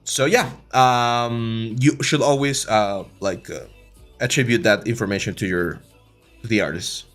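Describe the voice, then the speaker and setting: A man in his thirties with a Mexican accent, hosting a casual livestream tutorial on 3D modeling, reading chat questions and answering them in a relaxed way, with lots of "uh" and "like" and frequent restarts. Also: medium pitch, measured pace, faint music behind. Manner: relaxed, filler-heavy, many restarts. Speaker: 3D art instructor and livestream host.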